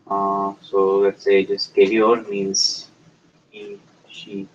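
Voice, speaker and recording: A man teaching a language lesson, explaining step by step in a hesitant, halting way with many pauses. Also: sits low, monotone, a little hiss or hum. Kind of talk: slow explanatory lecture. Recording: dull lo-fi recording.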